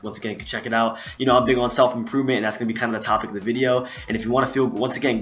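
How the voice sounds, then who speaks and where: A young man chatting fast into a laptop mic at home, rambling along with plenty of ums and uhs. His voice sits fairly low, and he sounds a little out of breath. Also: fairly bright tone. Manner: rambling, filler-heavy, chatty. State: slightly out of breath. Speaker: vlogger. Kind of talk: casual talk to camera. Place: home room, indoors. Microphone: laptop built-in mic.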